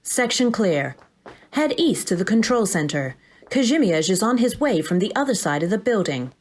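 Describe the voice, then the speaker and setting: A woman playing a calm, procedural AI assistant that guides a soldier through combat in a sci-fi audio drama. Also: mid-range voice, moderate pace, slight background noise, natural voice. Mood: brisk, helpful. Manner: clipped, procedural, instructive announcements.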